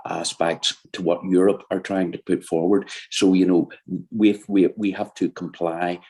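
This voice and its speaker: Deep, husky Northern Irish man questioning. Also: dark tone. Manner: deliberate, with frequent "uh" pauses. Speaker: committee member asking questions. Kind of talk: formal questioning at a hearing.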